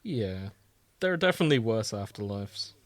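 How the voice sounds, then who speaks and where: A man's voice: fairly low pitch, sing-song, normal speed, no room sound. A man co-hosting a podcast, chatting casually about fandom. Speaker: podcast co-host.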